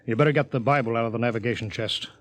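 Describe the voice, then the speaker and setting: An actor playing a sober, authoritative spaceship captain giving clipped orders in 1950s radio drama style. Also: male voice, medium pitch, racing, close to an everyday voice. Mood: commanding.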